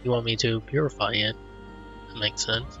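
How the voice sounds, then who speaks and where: A man's voice: lowish voice, steady tone, moderate pace, bright, forceful tone. A man doing laid-back, conversational let's play commentary as he games. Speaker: gamer, let's player. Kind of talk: casual gameplay commentary, reading dialogue choices. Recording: dull lo-fi mic, faint game music.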